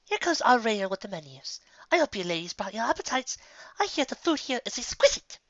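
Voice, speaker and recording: Man putting on a high, ladylike young unicorn. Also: big pitch swings, a little fast, a bit bright, no echo, highs cut off, full character voice. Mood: pleased. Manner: genteel, formal wording. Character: refined, ladylike young female unicorn, chatty and polite. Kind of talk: storybook character reading.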